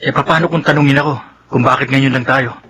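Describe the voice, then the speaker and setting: A man playing an anxious, guilt-ridden young brother with a husky voice in a Filipino radio drama. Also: mid-range voice, fairly even intonation, fairly quick, noisy background, natural voice. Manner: anxious, hesitant.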